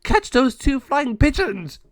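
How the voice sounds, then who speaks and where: A brash, clumsy gargoyle henchman yells in a high, squawky voice, as a man reading a storybook puts him on. It sits far above his usual pitch. The sound is dry and close. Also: huge pitch swings, takes it slowly, no echo, exaggerated voice. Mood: bossy.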